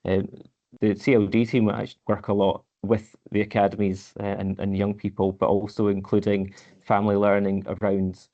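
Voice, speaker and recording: Man, council head of service, hesitant, repeats words, low monotone voice, dull lo-fi recording. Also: normal speed. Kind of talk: answering questions at a committee meeting.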